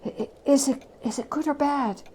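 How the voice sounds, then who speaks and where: A woman's voice: sits high, moderate pace, dark tone, voice pushed up, close to an everyday voice. A woman voicing a mild, patient young wife, anxious and tentative, in a storybook reading.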